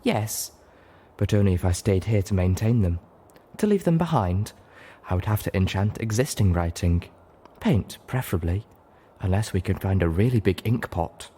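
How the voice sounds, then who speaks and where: A man's voice: deep voice, expressive, moderate pace, mellow, husky. A man voicing a refined, insistent female pony singer in a story, prim, wry and a little condescending.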